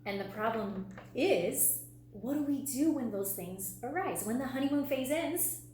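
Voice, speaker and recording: A woman's voice: fairly high pitch, lively intonation, a little slow, darkish tone. A woman speaking in an echoey room with a faint background hum. She sounds like a coach co-hosting a podcast, stressing her points with rhetorical questions and running lists. The delivery is conversational. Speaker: podcast co-host and relationship coach.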